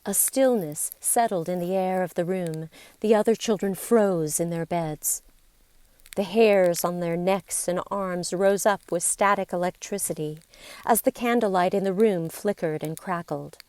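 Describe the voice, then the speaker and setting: A woman narrating a scripted story in a deliberate, theatrical way, with dramatic pauses and emphasis. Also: fairly low pitch, darkish tone, smooth, dry recording. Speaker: podcast storyteller.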